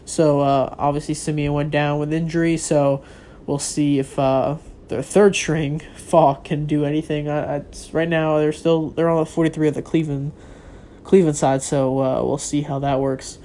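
A man's voice: on the high side, flat delivery, normal speed, mellow. A young man with a stuffy voice from a cold, talking casually and rambling with many ums. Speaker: vlogger. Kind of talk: casual solo talk on football and sneakers. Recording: faint background noise.

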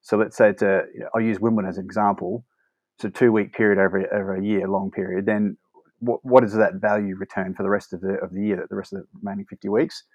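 A man speaks at a brisk clip in a fairly low voice, answering questions fluently with frequent restarts. The sound is dry and a little muffled, as if on a remote call. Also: flat delivery, darkish tone, no room sound. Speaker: brand partnerships manager. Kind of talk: conversational interview answers.

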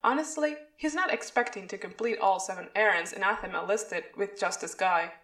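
A woman's voice: mid-range voice, brisk, fairly bright tone, natural voice. Distant, echoing cynical inner voice, a woman's. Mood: cynical, calculating. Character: male schemer's inner thoughts, cynical and calculating. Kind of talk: inner monologue in podfic drama. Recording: roomy echo, distant from mic.

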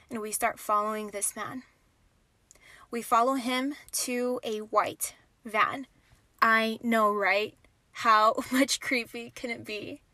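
A young adult woman hosting a podcast, telling a personal story in an animated, conversational way with dramatic pauses. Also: fairly high pitch, dry recording. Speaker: podcast host. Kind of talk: storytime narration.

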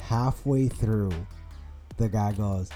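American man, 30s, mellow voice, rambling podcast chatter, soft music behind. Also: fairly low pitch, takes it slowly. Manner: rambling, animated storytelling with many restarts. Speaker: podcast co-host. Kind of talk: casual chat.

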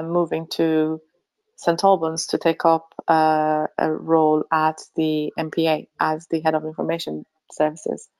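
A woman's voice: low-pitched, darkish tone, smooth. Finnish woman, thoughtful guest with many ums. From Finland. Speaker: pharmacy association chief executive, guest. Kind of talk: remote interview.

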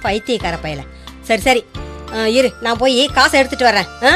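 A woman playing a bossy, thrifty older mother-in-law in a radio drama, sharp and nagging. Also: fairly high pitch, expressive, moderate pace, faint music behind. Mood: bossy, scolding.

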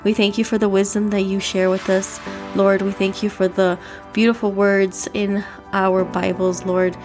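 A woman's voice: mid-range voice, flat delivery, normal speed, darkish tone. Congested, nasal woman host, earnest and chatty, faint music bed. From Miami, Florida. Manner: earnest, upbeat and chatty, with fillers. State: stuffy and congested. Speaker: devotional podcast host. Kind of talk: casual devotional talk and spoken prayer.

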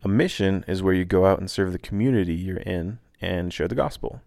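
A laid-back man who grew up in Taiwan, hosting a podcast and calmly, earnestly reading a personal reflection. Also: low-pitched, brisk, gravelly, dry recording, clean studio sound. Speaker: podcast host.

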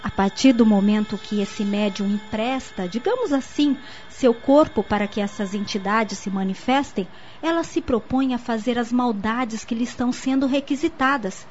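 A woman's voice: fairly high pitch, darkish tone, lightly acted. A woman playing an earnest young niece in a radio soap opera, explaining things in an articulate, didactic way. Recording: muffled lo-fi audio with faint noise.

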